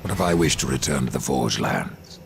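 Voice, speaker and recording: A man's voice: a bass voice, animated, moderate pace, creaky, a little hiss or hum, heavily acted. A man playing a grim, proud undead warrior horseman in a video game, terse, brooding and distrustful. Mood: guarded. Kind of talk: video game character acting.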